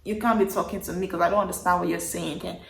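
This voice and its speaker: A young woman in her twenties from Nigeria, speaking with a Nigerian accent, casually telling a personal story to camera in an animated, emphatic way and repeating words for effect. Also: on the low side, fast talker, creaky, slight background noise. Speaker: vlogger. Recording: roomy echo.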